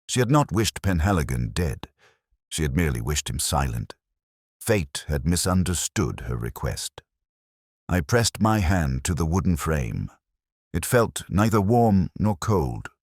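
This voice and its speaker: A man playing a melancholy older detective inspector, narrating case notes in a measured, reflective, literary way. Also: extremely low pitch, dry recording, clean studio sound, exaggerated voice. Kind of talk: scripted first-person literary narration.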